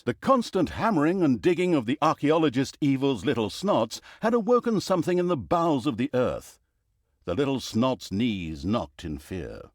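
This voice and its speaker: Theatrical sarcastic male narrator for an evil fantasy game, super animated, wry asides, really dry recording. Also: medium pitch, no echo. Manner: mock-dramatic, wry asides. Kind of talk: scripted comic storybook narration.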